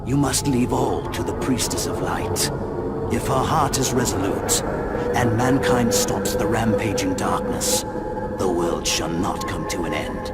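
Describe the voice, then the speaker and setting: An actor playing a calm, fatherly guardian spirit of darkness in a video game, grave, formal and measured. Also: on the low side. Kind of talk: dramatic video game cutscene acting. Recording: loud music behind the voice. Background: loud game soundtrack music.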